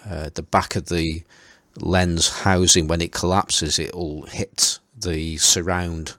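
Low-voiced hesitant man, dry close mic. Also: little pitch movement, slow pace, a bit bright, no echo. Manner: hesitant, repeats words while thinking. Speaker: podcast host. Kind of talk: casual chat.

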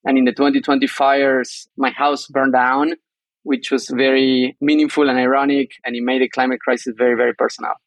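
A man's voice: medium pitch, level tone, brisk, dry recording. A man from Argentina with an Argentine Spanish accent, explaining things as an interview guest. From northern Argentina. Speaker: startup co-founder, interview guest.

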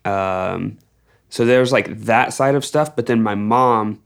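A man's voice: lowish voice, takes it slowly, creaky, clear, resonant tone. A young American man from Texas, telling stories casually and informally as a musician guest, rambling and stressing key words. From Texas, United States. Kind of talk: casual storytelling in an interview.

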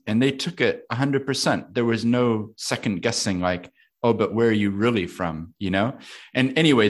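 Man, American accent, low voice, thoughtful, laughs easily, casual conversation. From United States. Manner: thoughtful, laughs often, asks himself questions aloud.

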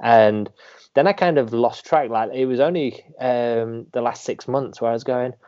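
A man's voice: mid-range voice, moderate pace, darkish tone, dull audio. A man hosting a podcast, introducing his guest with enthusiasm and casual fillers. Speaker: podcast host. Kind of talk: casual interview.

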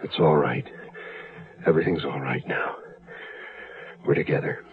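An actor playing a devoted, nervous lover who has just killed, in a 1970s radio drama. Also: male voice, extremely low pitch, a little slow. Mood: tender. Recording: dull lo-fi radio drama recording.

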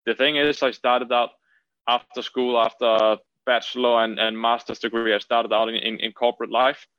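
A man with a Danish accent answering interview questions conversationally, haltingly, often repeating words. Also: mid-range voice, little pitch movement, fairly bright tone, clear, resonant tone, dull audio. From Denmark. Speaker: podcast guest, trading entrepreneur.

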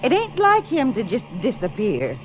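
Muffled vintage radio sound frames an anxious, devoted small-town woman. Her American voice sits fairly high and rises and falls dramatically, nervous and insistent. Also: wildly swooping pitch, normal speed. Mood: worried, insistent. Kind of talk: old-time radio drama acting. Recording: muffled, hissy 1950 radio recording.

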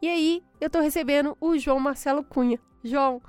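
A woman's voice: sits high, a little fast, a little mellow, dry recording, soft background music. A woman hosting a podcast, reading a chatty scripted intro full of rhetorical questions, then interviewing a guest. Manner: friendly, conversational, rhetorical questions. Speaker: podcast host.

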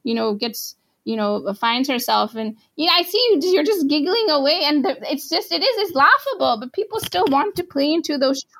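A woman's voice: high voice, lively intonation, moderate pace, few pauses, fairly bright tone. A woman from Pakistan, a translator guest in an interview, who talks in a chatty, casual way full of fillers. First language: not Arabic. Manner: chatty, full of "like" and "you know".

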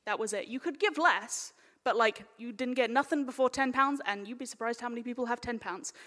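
A woman's voice: fairly high pitch, brisk, a bit bright. A woman chairing a live panel informally, with a jokey, cheeky manner. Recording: echoey hall with long reverb.

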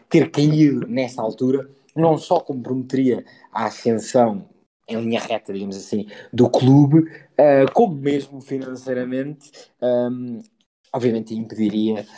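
Male podcast co-host, European Portuguese accent, mellow mid-range voice, relaxed timbre, hesitant conversational football talk. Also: medium pitch.

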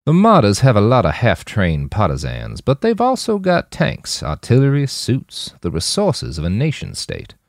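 A man's voice: mid-range voice, wildly swooping pitch, normal speed, few pauses, no room sound, studio quality, pitched above the performer's own voice. A man voicing a brash, blunt, foul-mouthed mercenary leader in an audiobook story, with a taunting, cocky manner.

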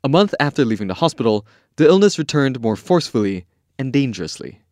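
Podcast host: man, mid-pitched and animated in intonation, scripted storytelling, very dry recording. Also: fast talker, dry recording. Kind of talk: scripted documentary narration.

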